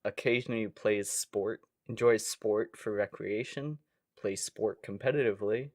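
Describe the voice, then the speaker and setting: A man's voice: fairly low pitch, moderate pace, a little mellow, no room sound, clean studio sound. A young man co-hosting a podcast in casual comedic chat, jokey and self-deprecating, sometimes reading questions aloud. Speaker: podcast co-host.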